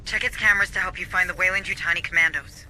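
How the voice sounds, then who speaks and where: A woman's voice: fairly low pitch, steady tone, racing, bright, forceful tone, slight background noise, low-quality recording. An actress voicing an earnest, anxious corporate official in a video game who guides a marine squad and is shaken by the deaths she finds. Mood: briefing. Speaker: station official guiding a marine squad by radio. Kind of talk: video game cutscene dialogue.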